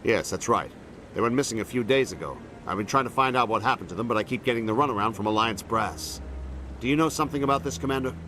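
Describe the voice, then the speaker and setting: A man's voice: mid-range voice, quick pace, sharp tone, lightly acted. A man playing an earnest, troubled admiral in a science fiction video game, speaking formally and with weight. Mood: concerned, businesslike. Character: earnest, troubled male navy admiral of space opera. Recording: clear background ambience noise.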